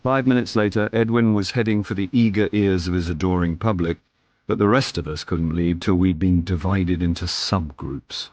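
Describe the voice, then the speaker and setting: A man's voice: deep voice, steady tone, moderate pace, darkish tone, dry recording. A British actor playing a wry, weary middle-aged man in a radio drama, with a dry, self-deprecating manner. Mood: wry narration. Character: wry, weary, hapless middle-aged male actor turned amateur sleuth. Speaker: narrator and lead actor. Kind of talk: radio drama acting and first-person narration. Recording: radio drama with background noise and ambience.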